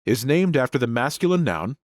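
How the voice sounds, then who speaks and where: A man in the middle of his pitch range narrates a language lesson. His voice rises and falls with lively movement as he explains each point clearly, recorded close on a dry studio mic with no room sound. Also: brisk, dry recording. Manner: clear, explanatory teacher delivery. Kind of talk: scripted grammar lesson narration.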